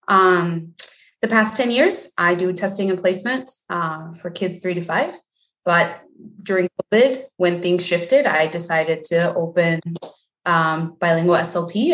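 A woman from Mexico, a guest on a podcast, talking casually with lots of "like" and "um" fillers. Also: fairly low pitch. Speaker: guest, bilingual speech language pathologist. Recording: echoey room.

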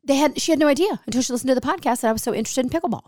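A middle-aged woman chatting on her podcast, telling stories and playing out both sides of the conversation. Her voice is a little gravelly and hoarse, with a medium pitch. The sound is close and dry. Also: rapid-fire, a little mellow, dry recording. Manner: chatty storyteller, acts out quoted dialogue. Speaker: podcast host. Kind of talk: casual chat.

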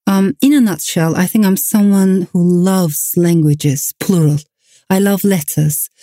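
A middle-aged woman with a Turkish accent, a novelist answering interview questions in a thoughtful, measured way with soft fillers. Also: lowish voice, no room sound, studio quality. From Turkey. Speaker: novelist guest. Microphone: remote line from home.

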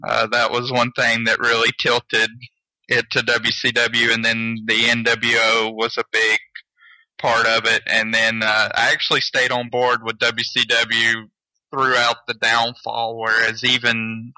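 A man's voice: mid-range voice, monotone, a little slow, sharp tone, no echo, low-quality recording. A man in his mid twenties from Georgia in the US, co-hosting a casual podcast in a matter-of-fact way. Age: 20s. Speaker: podcast co-host.

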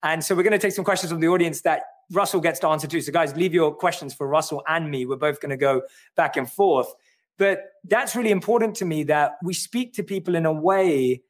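A man from London with a London accent, an author explaining his ideas in an earnest, reflective way during a livestream conversation. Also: on the high side. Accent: London English. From London, England. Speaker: author and guest.

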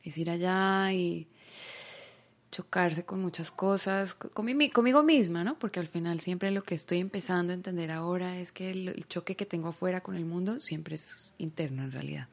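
A woman from Bogotá with a Colombian accent, speaking as an interview guest in a reflective, searching way, often pausing on filler words. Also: fairly low pitch, vocal fry. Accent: Bogotá Colombian Spanish.

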